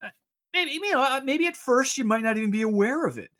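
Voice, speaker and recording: A man's voice: very high-pitched, expressive, fast talker, fairly bright tone. A man hosting a casual radio show, chatting and interviewing in a rambling, hesitant way. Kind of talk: casual studio banter and interviewing.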